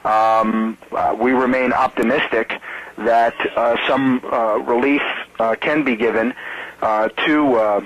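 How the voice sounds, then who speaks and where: An American man, a campaign spokesman, answering reporters on a conference call in a halting, measured way. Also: medium pitch, fairly even intonation, a bit bright, low-quality recording. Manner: halting, measured, hesitant delivery.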